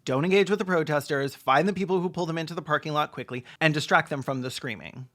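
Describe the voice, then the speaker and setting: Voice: medium pitch, fast, a bit bright. A video essayist giving sarcastic, mocking comedic commentary on a film in a campy way.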